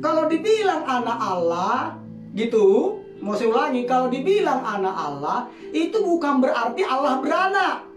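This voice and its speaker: Lively high-voiced woman preacher. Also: normal speed. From Medan, Indonesia. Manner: asks rhetorical questions, calls for amen responses. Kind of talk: sermon teaching. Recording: echoey room, distant mic, music behind. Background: guitar music.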